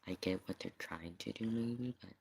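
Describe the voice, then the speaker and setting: A streamer giving casual, halting reaction commentary in drawn-out phrases. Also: low-pitched, vocal fry, darkish tone, slightly rough.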